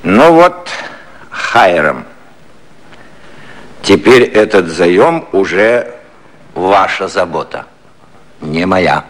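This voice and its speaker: British prime minister, leisurely, lowish voice, highly expressive, ironic, courteous, satirical radio drama. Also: male voice, very slow, long pauses, exaggerated voice. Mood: calm, sly. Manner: leisurely, ironic, theatrical phrasing. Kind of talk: satirical 1950s Soviet radio play acting.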